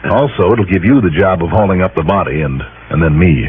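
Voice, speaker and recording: A man's voice: deep voice, animated, brisk, breathy edge, heavily acted. An actor playing a tough, cool insurance investigator, narrating his case with wry, clipped delivery in an old radio crime drama. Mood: easy, bantering. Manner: wry, clipped hardboiled storytelling. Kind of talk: 1950s-60s radio drama acting, hardboiled first-person narration. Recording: muffled lo-fi old radio recording.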